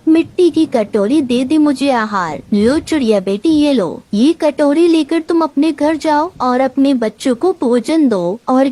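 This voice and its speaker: A woman voicing a kindly, motherly old bulbul in an animated moral story. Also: high voice.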